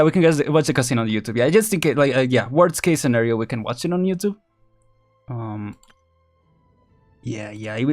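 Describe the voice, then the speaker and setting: Male game streamer, mid-pitched, casual and rambling, thinking aloud with music behind him. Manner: rambling, chuckling, thinking out loud. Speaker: live streamer.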